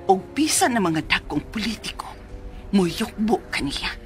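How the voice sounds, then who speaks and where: A woman's voice: medium pitch, very animated, a little slow, music in the background. A woman playing an anxious, protective mother in an emotional, pleading style of Philippine radio drama. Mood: grim. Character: anxious, protective mother warning her grown son.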